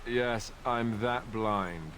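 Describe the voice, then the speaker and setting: A dry, teasing adventurer. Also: male voice, lowish voice, extremely slow, noisy background. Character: teasing young male adventurer companion. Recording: game audio with noticeable ambience.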